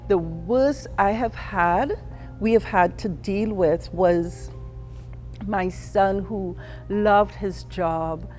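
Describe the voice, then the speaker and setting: Earnest Trinidadian-born woman, low voice, soft music. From Trinidad and Tobago. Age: middle-aged. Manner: earnest and candid, quoting people in lively asides. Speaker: interviewee. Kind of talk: personal testimony.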